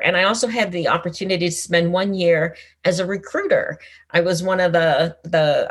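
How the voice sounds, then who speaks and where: A woman's voice: medium pitch, slightly rough. A middle-aged woman, an author and former intelligence officer, talking casually in an interview with frequent restarts. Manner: conversational, frequent restarts, laughs easily.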